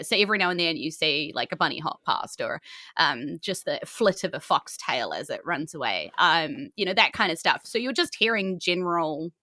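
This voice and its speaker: A woman's voice: on the low side, pushed and bright, dry recording. A woman with a New Zealand accent, narrating a tabletop game as dungeon master in casual, colloquial language.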